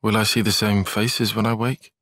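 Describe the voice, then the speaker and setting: A man's voice: on the low side, level tone, normal speed, a bit bright, no room sound, clean studio sound, lightly acted. A man playing an earnest, analytical young soldier in a fantasy video game, speaking with precise, formal phrasing. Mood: subdued. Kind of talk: video game cutscene acting.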